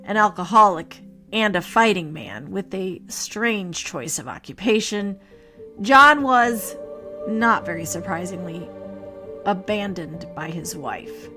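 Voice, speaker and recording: A woman podcast host telling a scripted ghost story in a theatrical, measured way with dramatic pauses. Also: medium pitch, lively intonation, pushed and bright. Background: music.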